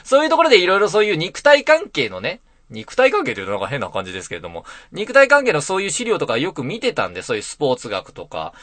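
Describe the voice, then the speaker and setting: A man's voice: on the high side, huge pitch swings, fast, hardly any pauses, a bit bright, dry recording. A man in his thirties hosting an internet radio show, rambling casually to listeners in a musing, self-deprecating way.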